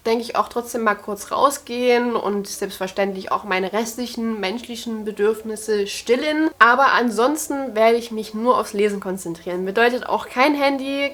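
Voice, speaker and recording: A woman's voice: a little high, fairly bright tone. A woman in her twenties talking casually and directly to her viewers, upbeat and chatty, filmed at home. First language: German. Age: 20s. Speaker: vlogger. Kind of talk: casual vlog to camera. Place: at home indoors.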